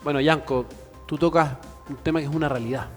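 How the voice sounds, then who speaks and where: A man's voice: fairly high pitch, fairly even intonation, fairly quick, long reverb tail, faint music behind. A man talking earnestly on a TV show, speechlike and full of fillers. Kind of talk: earnest studio talk.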